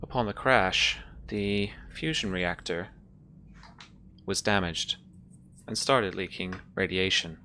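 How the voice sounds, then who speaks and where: A man voicing an emotionless ship's computer in a tabletop roleplaying game, speaking in measured, impersonal phrases. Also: deep voice, sharp tone, a little hiss or hum, exaggerated voice. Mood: flat, informative. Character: formal, emotionless ship's computer called Mother. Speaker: game master.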